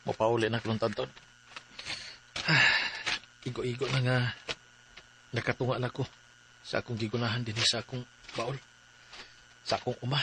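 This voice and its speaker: An actor with a Cebuano Filipino accent playing a troubled, devoted forty-year-old farmer in a radio drama. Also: male voice, medium pitch, background noise, natural voice. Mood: weary. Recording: faint music bed.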